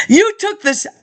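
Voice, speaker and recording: A middle-aged woman rambling through a personal monologue, indignant and laughing often as she jumps from topic to topic. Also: a bit bright.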